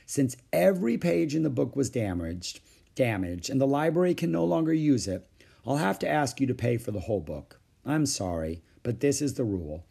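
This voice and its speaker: A man voicing an understanding, courteous woman, a children's librarian in a storybook, polite and measured. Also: medium pitch, big pitch swings, vocal fry, dark tone, breathy edge, exaggerated voice. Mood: polite, regretful.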